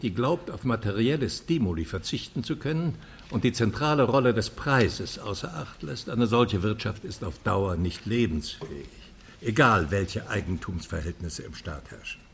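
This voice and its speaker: A man's voice: lowish voice, vocal fry, slight background noise. An actor playing an older male economics professor in a radio drama, lecturing with courtly irony and rhetorical flourish. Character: charming, sardonic old male economics professor and dissident.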